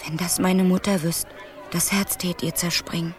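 An actress playing a sulky, stubborn fifteen-year-old princess in a radio play, blunt and pert. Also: female voice, on the low side, level tone, normal speed, some chatter behind, close to an everyday voice. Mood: indignant.

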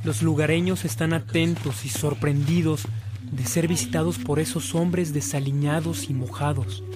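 An actor as the narrator of a radio drama, telling the story in a dramatic scripted style. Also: male voice, on the high side, little pitch movement, moderate pace, music in the background, close to an everyday voice. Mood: storytelling.

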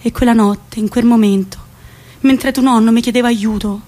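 A woman playing a warm old rural grandmother who recalls her youth in folksy central Italian dialect, in a theatrical monologue. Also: fairly high pitch, fairly even intonation, moderate pace, close to an everyday voice. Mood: hushed recollection. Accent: central Italian, Roman area.

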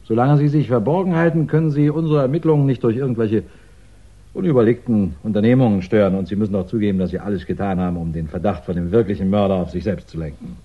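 An actor playing a courteous, wryly amused chief inspector in a 1960s German radio crime drama, soothing and gently condescending. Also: male voice, lowish voice, fast, natural voice. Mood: wry, calm. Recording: dull 1960s radio recording.

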